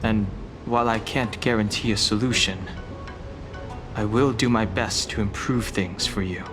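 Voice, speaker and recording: A lowish, formal young guardian voiced by a man in an anime-style fantasy game. He is reserved and dutiful, earnest and measured in every phrase, with music clearly playing behind him. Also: fairly even intonation, lightly acted. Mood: polite, calm. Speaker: game character voice actor.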